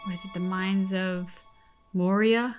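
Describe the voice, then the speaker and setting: A woman comments casually on a video game with dry, joking asides. Her pitch is average for a woman, and very loud game music plays behind her. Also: fairly even intonation, a little slow. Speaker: reactor on a gaming channel.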